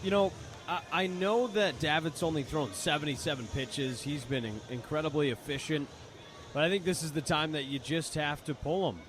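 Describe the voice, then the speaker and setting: A man's voice: a little high, normal speed, over music. A man giving live, conversational baseball analysis as a color commentator, often repeating words as he reasons. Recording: faint outdoor ambient noise behind. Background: outdoor ballpark ambience.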